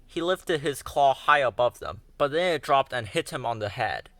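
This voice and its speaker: Brief: expressive male read-aloud storyteller, dry close mic. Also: medium pitch, normal speed, dry recording. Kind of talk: children's book read-aloud.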